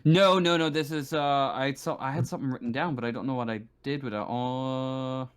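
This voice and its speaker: A man with a mid-pitched voice narrates a tabletop game as game master. He improvises haltingly, searching for words as he sets the scene. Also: clean tone.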